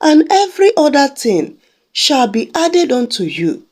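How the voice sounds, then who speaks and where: A woman's voice: sits high, very animated, unhurried, darkish tone, pitched above the performer's own voice. A woman voicing a stern, preachy male pastor. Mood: preaching.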